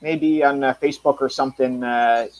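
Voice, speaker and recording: A man's voice: medium pitch, fairly even intonation, normal speed. A man in his thirties hosting his own channel, chatting casually on a live stream with lots of fillers and restarts. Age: 30s. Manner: rambling, many fillers and restarts. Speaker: channel host.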